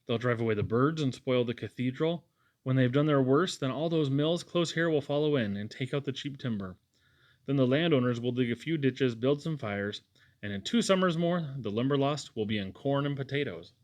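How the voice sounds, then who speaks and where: A man's voice: mid-range voice, expressive, moderate pace, darkish tone, natural voice. Man reading as an eager, sweet young woman. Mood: dismayed. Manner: gushing, girlish exclamations.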